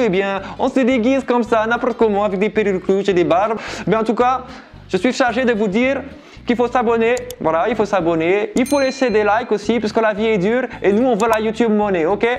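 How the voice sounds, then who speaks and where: A man's voice: high-pitched, fairly quick, voice pushed up, close to an everyday voice. A man voicing Francesco, a goofy Italian character in a cheap disguise, with a put-on Italian accent and a playful, clowning manner.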